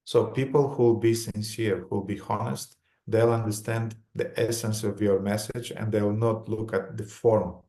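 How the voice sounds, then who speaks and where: A man with a Romanian accent giving a religious lecture in short phrases, pausing for an interpreter. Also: on the low side, steady tone, warm tone.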